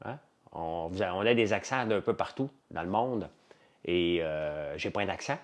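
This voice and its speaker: A man's voice: low voice, lively intonation, normal speed. A man with a Quebec French accent chatting casually to livestream viewers, rambling and blunt, in colloquial words and often repeating himself. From Quebec, Canada. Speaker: livestream host.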